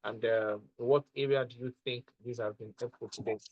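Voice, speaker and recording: A man's voice: mid-range voice, fairly even intonation, moderate pace, phone-quality sound. A man leading an online mentorship session, teaching in an emphatic, motivational way and often repeating his points. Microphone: online call audio.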